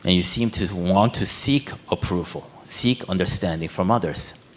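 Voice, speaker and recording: Deep-voiced male interpreter. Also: normal speed, slight background noise. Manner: clear, rephrasing and clarifying. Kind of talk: live interpreting. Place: temple hall.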